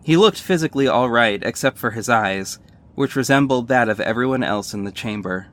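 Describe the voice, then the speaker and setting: A man's voice: medium pitch, lively intonation, fairly quick, fairly bright tone, natural voice. A man playing a wry young superhero who narrates his own mission in scripted first person. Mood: tense, wry. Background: music bed.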